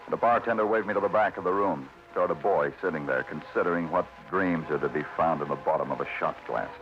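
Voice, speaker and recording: An American actor playing a terse, world-weary police detective in a 1940s radio noir, clipped and hard-boiled. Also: male voice, low-pitched, quick pace. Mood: brooding. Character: terse, world-weary New York police detective narrating his case. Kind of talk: 1940s noir radio drama, moody scripted narration. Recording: dull vintage radio recording with music behind.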